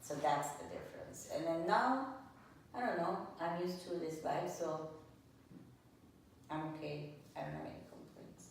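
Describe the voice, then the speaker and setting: A woman's voice: lowish voice, a little slow, long pauses. A woman in her sixties from Lebanon answering oral history interview questions in a plainspoken, hesitant way. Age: 60s. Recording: echoey room, distant mic, faint noise.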